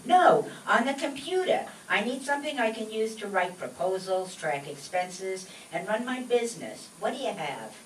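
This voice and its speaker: A woman's voice: medium pitch, sing-song, moderate pace, hardly any pauses, lightly acted. A woman playing a gullible, exasperated male stooge in a vaudeville-style radio comedy routine. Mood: puzzled. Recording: echoey distant mic, noisy live stage.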